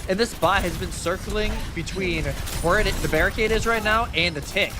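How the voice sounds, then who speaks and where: A man's voice: high voice, normal speed, over music. A man giving live commentary on a video game match as an esports caster, speaking colloquially and emphatically.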